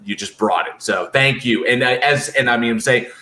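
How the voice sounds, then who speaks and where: A man's voice: mid-range voice, normal speed, pushed and bright. A man co-hosting a casual chat, speaking effusively and gratefully. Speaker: co-host and film writer.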